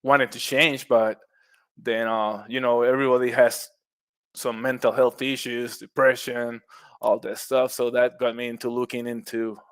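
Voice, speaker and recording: A man's voice: medium pitch, flat delivery, unhurried, a bit bright, clear, resonant tone, no echo. A middle-aged man from Puerto Rico with a Puerto Rican accent, a guest on a casual podcast who talks in a friendly way, laughing often and restarting his words. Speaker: guest, mushroom business owner.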